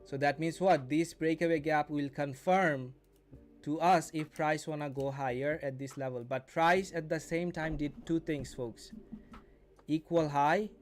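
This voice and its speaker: Higher-voiced young man over music. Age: 20s. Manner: repeats and rephrases, addresses viewers as folks. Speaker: day trading mentor and live stream host. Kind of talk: casual live stream commentary and teaching.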